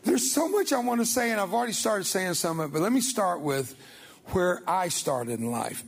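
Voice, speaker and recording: An older man gives a testimony to a church congregation, his first language Spanish. He tells his story in a folksy, emphatic way, with a voice set somewhat high for a man. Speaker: guest speaker.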